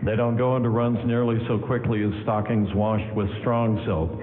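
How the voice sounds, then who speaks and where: A man's voice: fairly low pitch, fairly even intonation, normal speed. A man announcing in a scripted 1940s radio style, reading an ad and narration from a large hall stage. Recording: echoey hall, tinny narrow radio broadcast.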